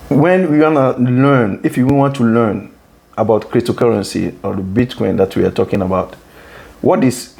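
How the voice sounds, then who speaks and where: A man's voice: mid-range voice, creaky, darkish tone. Earnest Ghana-born man hosting an interview. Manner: earnest, repeats words and restarts, many affirming "mm-hmm"s. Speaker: interview host. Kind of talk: conversational interview.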